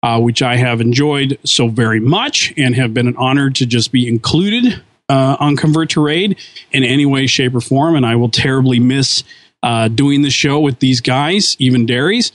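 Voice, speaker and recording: A man's voice: medium pitch, moderate pace, a bit bright, no room sound. Earnest man telling dad jokes. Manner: corny dad-joke delivery, earnest. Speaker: departing podcast co-host. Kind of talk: casual podcast chat.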